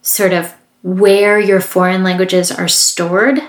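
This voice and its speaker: A woman interviewing a guest in a relaxed conversation, asking friendly follow-up questions. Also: on the low side, slow pace, echoey room. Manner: encouraging, asks follow-up questions.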